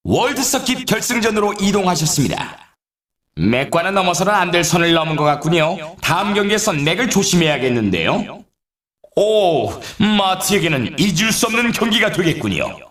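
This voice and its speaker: A man voicing an excitable snowboard race announcer in a video game, with polished, showy, emphatic commentary. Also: sits high. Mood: emphatic, announcing.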